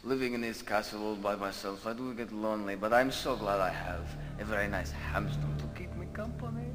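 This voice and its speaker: A man's voice: on the low side, sing-song, brisk. A man playing a pompous, villainous count in a comic melodrama, theatrical and gloating. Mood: lonely, doting. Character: pompous villainous count who dotes on his hamster. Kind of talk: comic melodrama audio acting. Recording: long reverb, loud music behind.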